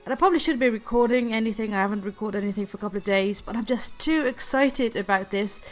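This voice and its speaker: A woman's voice: mid-range voice, quick pace. Giggly woman with a nasal post-cold voice. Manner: giggly, often laughing; hesitant, repeats words and stalls. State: recovering from laryngitis, slightly nasal. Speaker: gaming video creator. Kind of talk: casual chatty game vlog. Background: faint background music.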